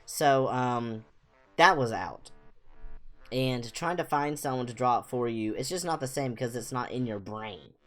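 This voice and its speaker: A man's voice: medium pitch, unhurried. A young man chatting casually as a livestream host, rambling informally with a self-deprecating manner. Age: young adult. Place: small home room, indoors.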